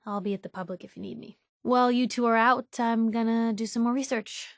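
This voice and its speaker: A woman's voice: a little high, fairly even intonation, fairly quick, no room sound, studio quality, natural voice. A woman playing a brash, nosy and determined young reporter in a video game, with a sassy, sardonic, pushy manner. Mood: wry, inquisitive. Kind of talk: video game dialogue acting.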